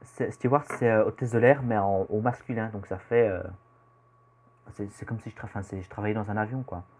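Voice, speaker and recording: A man's voice: lowish voice, steady tone, brisk, a little mellow. A teenage boy from Belgium with a Belgian French accent, casually answering questions about himself in a vlog, rambling and hesitant with lots of fillers. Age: teen. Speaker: youtuber. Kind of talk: casual self-introduction vlog.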